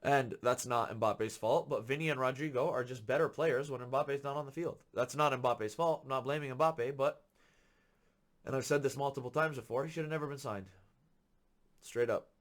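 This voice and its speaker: A man hosting a football podcast, ranting with frustration and repeating his points for emphasis, a little hoarse from being sick. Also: medium pitch, moderate pace. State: slightly hoarse from illness. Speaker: podcast host. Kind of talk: solo football commentary rant.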